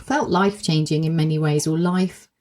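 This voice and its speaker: A woman hosting her own coaching podcast, talking reflectively and musing aloud, with a slightly croaky voice from a cold. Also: low voice, steady tone, normal speed. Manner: warm-hearted, musing, self-questioning. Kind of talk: reflective solo podcast monologue.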